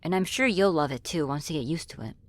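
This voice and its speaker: A woman playing a friendly, flirty, apologetic demon in an ASMR roleplay, speaking in a gentle, reassuring, conversational way. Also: low voice, fast talker, dry recording. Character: friendly, flirty, apologetic female demon ruling her own realm. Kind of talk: ASMR roleplay spoken to the listener.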